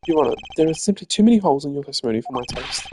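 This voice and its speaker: A man voicing a composed, dry-witted young prosecutor in a courtroom video game, formal, measured and sardonic. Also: on the high side, animated, warm tone, a little hiss or hum, lightly acted. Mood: pressing. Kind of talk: game character voice-acting.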